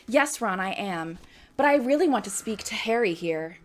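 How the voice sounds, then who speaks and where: A woman's voice: mid-range voice, animated, moderate pace, close to an everyday voice. A woman voicing a bubbly, confident teenage witch schoolgirl in a story reading, emphatic and eager. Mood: pleasant, confident. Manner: bright, pleasant, eagerly emphatic.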